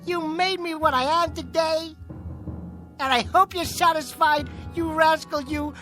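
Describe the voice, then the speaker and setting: A man's voice: very high voice, steady tone, a little slow, sharp tone, faint music behind, exaggerated voice. A man voicing a living ventriloquist's dummy, a cocky, menacing wisecracker, in a radio drama. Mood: bitter, gloating. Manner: taunting nightclub-comic patter.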